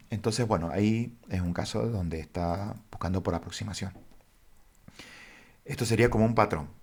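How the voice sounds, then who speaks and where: A low, gravelly man walks through a database lesson at his computer. He talks haltingly, with frequent fillers, and addresses his viewers formally. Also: expressive, darkish tone. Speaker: database instructor. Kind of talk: screen-share coding lesson.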